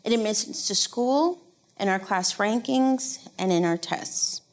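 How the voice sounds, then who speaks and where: A woman playing an earnest drama student who recites a monologue in a deliberate, stagey way. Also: medium pitch, lightly acted. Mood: earnest, solemn. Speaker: acting student. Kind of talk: theatrical monologue in comedy.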